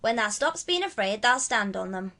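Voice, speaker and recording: A woman's voice: on the high side, lively intonation, normal speed, bright, forceful tone, pitched above the performer's own voice. A woman voicing a cheerful, reassuring country boy with a Yorkshire accent in an audiobook. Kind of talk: audiobook character voice.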